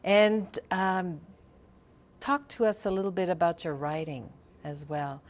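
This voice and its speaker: A woman's voice: on the low side, measured pace. A woman interviewing a guest for local TV, asking short questions and answering with brief encouragement. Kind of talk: casual interview chat.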